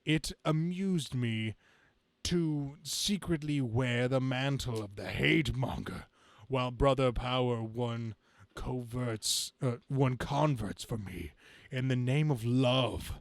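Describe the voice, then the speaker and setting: A man voicing a gloating, grandiose comic-book supervillain in a halting dramatic reading. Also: medium pitch, no echo. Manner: grandiose, halting monologue with stumbles. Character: gloating male comic-book supervillain scheming against humanity.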